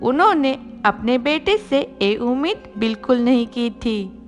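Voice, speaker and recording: A woman's voice: on the high side, big pitch swings, a little slow. A woman narrator reading a scripted children's moral story. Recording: music behind.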